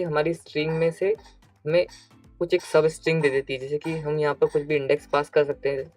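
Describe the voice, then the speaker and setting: A man's voice: fairly high pitch, a little mellow, dry recording. A man with an Indian accent narrating a step-by-step programming tutorial as an instructor, explaining and repeating key phrases.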